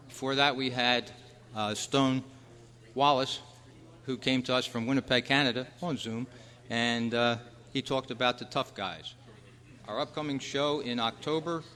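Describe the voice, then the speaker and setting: A man's voice: mid-range voice, sharp tone. A man hosting a live quiz and making club announcements to a room of people. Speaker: quiz host at a club meeting. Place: large meeting room at a convention. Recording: echoey hall, long reverb.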